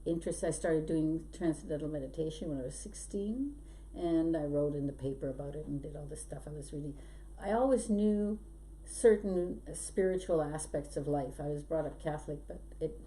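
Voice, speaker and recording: A woman's voice: deep voice, warm tone, low-quality recording. A middle-aged woman, born in Belgium and raised in Canada, chatting as a podcast guest and telling stories about her life. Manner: chatty and storytelling, laughs often. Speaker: hypnosis trainer, podcast guest. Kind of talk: casual interview chat. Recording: faint background noise.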